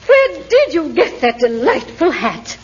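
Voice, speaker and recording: A very high-voiced, swooping society matron, silly, proud and gushing, with affected grand diction. It is 1940s radio comedy with a hooting laugh built into the character. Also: female voice, sing-song, measured pace. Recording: muffled noisy 1940s radio recording.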